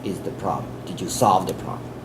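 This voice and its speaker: A man's voice: low-pitched, steady tone, fairly quick. A man with a Chinese accent giving interview answers as an English test expert, earnest, often repeating words and restarting. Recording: air conditioning hum in background.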